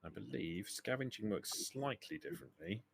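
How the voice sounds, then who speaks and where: A man's voice: lowish voice, animated, normal speed, gravelly, husky, no echo. A man acting as game master, explaining the rules of a tabletop role-playing game.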